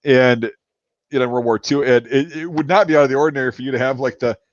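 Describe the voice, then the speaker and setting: Podcast host: a rambling, swearing man, mid-pitched, recorded dry and lo-fi. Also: fast, no echo. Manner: rambling, swears freely, lots of fillers and restarts. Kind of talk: casual chat. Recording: dry, dull lo-fi sound.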